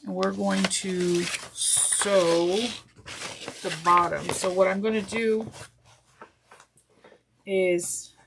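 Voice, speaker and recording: Woman, nasal and stuffed up, gravelly texture; chatty, self-correcting craft teacher; clear background noise. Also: medium pitch, little pitch movement, drawn-out words, pauses often. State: congested. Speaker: sewing instructor. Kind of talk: step-by-step craft tutorial. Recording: noisy with clicks.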